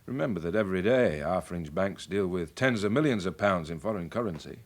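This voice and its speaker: An actor playing a worldly, middle-aged British police chief in a radio drama, measured and wry as he explains things. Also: deep voice, animated. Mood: measured, emphatic. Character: worldly, wry senior police chief briefing his sergeant.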